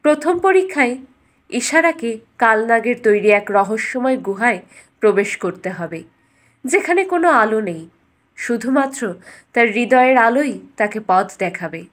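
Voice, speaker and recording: A woman with a fairly high voice narrates a fairytale. She delivers it as a scripted bedtime story, in the role of storyteller. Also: lively intonation, unhurried.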